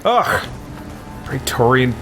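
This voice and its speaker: Animated man doing casual gameplay commentary. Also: mid-range voice, takes it slowly. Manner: thinks aloud, muses to himself. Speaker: let's play host. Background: game music.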